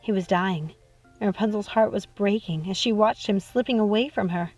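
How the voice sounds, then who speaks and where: A woman's voice: medium pitch, moderate pace, a little mellow. Female audiobook reader: dramatic fairy-tale narration, middle register, music bed.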